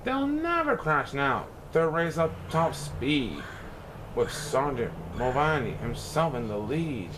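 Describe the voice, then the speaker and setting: A man reading a rhyming children's storybook aloud in a theatrical, expressive way. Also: a little high, slow pace, noisy background. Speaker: storybook reader.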